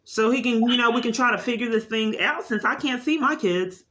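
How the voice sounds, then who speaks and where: A woman's voice: a little high, expressive, brisk, a bit bright. A woman caller speaking unscripted in an insistent, long-winded complaint, often repeating herself.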